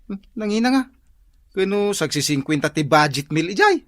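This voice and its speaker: Actor as a lively, teasing Ilocano workmate. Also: male voice, high-pitched, moderate pace, no echo, clean studio sound. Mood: chatty. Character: teasing male workmate and drinking buddy. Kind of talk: radio comedy-drama acting.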